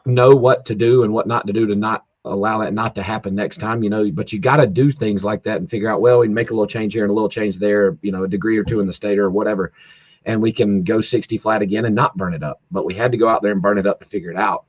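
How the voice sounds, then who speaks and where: A man who races drag cars, hoarse from yelling, talking in a rambling casual way full of fillers and restarts over a call. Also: lowish voice, steady tone, fast, no room sound, lo-fi sound. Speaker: drag racer guest. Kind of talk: casual podcast chat. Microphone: video call, home internet.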